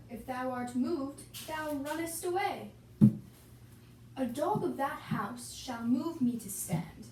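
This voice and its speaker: A woman's voice: fairly high pitch, a little mellow. A young woman giving an amateur, theatrical reading of Shakespearean dialogue for a puppet show. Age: young adult. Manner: theatrical, reciting archaic dialogue slowly. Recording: echoey room, distant mic, hissy noise.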